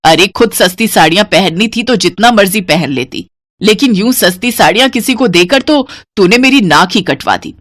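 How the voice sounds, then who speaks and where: A woman's voice: deep voice, very animated, moderate pace, no echo, studio quality, pitched below the performer's own voice. Woman voicing a stern scolding mother-in-law. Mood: angry scolding. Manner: commanding, scolding.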